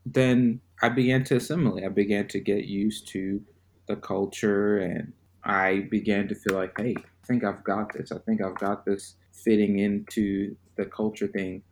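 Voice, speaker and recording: Podcast guest from Zimbabwe: low-pitched man, unhurried, reflective storyteller. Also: level tone, a little hiss or hum. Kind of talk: personal storytelling.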